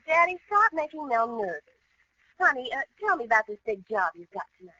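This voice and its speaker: An actress playing a sweet, devoted young fiancée with an American accent in 1940s radio comedy. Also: female voice, high-pitched, big pitch swings, fairly quick, pushed and bright, no room sound, full character voice. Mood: coaxing. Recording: dull old radio recording.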